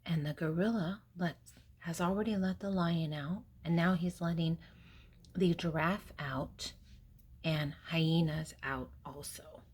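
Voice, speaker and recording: Slow-paced, husky older woman reading aloud. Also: sits low. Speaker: storybook reader and host. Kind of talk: reading a picture book aloud to young children.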